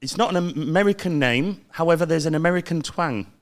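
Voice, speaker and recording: A man's voice: a little high, brisk. A man hosting a podcast, interviewing in a casual, chatty way and often rephrasing his questions as he goes. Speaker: podcast host.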